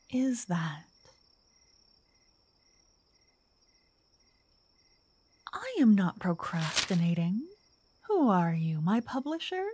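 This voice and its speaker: A woman playing a slow, soft-spoken doting vampire writer. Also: medium pitch, no room sound, full character voice. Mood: teasing, affectionate. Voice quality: soft, breathy, often whispered. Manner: teasing, dreamy, old-fashioned wording. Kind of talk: soft ASMR roleplay. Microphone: close, dry microphone.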